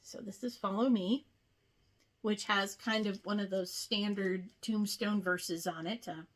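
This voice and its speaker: Lady just chatting through a show and tell, takes her time, kinda rambly, medium pitch. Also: steady tone, unhurried. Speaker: cross-stitch video host. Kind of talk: casual show-and-tell chat.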